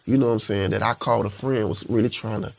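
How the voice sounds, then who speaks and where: A man's voice: fairly even intonation, quick pace, vocal fry, darkish tone. A man, a rapper guest speaking in a laid-back, reflective way. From Panama City, Florida. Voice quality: high-pitched voice. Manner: laid-back, reflective, repeats "you know what I'm saying". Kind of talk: casual interview chat.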